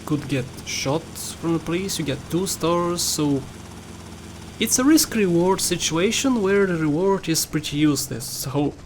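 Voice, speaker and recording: A man with a Bulgarian accent narrates his gameplay in a loose, chatty way, laughing often and teasing himself. His voice sits high for a man. A faint hiss runs under the recording. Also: animated, a little slow. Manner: chatty, laughs often, playful self-mocking. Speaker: gaming YouTuber. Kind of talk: casual gameplay commentary.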